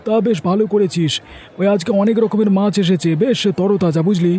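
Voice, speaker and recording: A man voicing a chatty friend at a fish market in a cartoon, raised higher than his usual voice. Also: a little fast, mellow, some chatter behind, slight background noise, natural voice.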